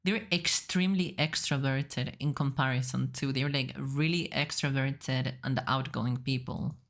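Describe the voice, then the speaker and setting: A woman's voice: extremely low pitch, steady tone, measured pace. A woman from Spain, a native Spanish speaker with a Spanish accent, giving chatty, teasing reaction commentary as a streamer. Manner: chatty, teasing, laughs often. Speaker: VTuber streamer.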